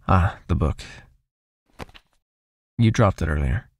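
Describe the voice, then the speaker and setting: A man playing a seductive, possessive vampire hypnotist in an intimate ASMR roleplay, soothing and teasing as he gently commands. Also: low-pitched, lively intonation, slow pace, full character voice. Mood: tender, teasing.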